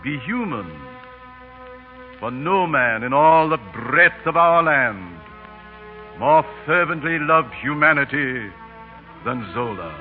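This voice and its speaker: Slow, solemn funeral orator. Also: male voice, a little high, expressive, faint music behind. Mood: fervent, reverent. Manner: slow, declamatory, exalted. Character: solemn, eloquent male orator delivering a funeral oration. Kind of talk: 1930s radio acting, grand oratory. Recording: muffled 1930s radio broadcast recording.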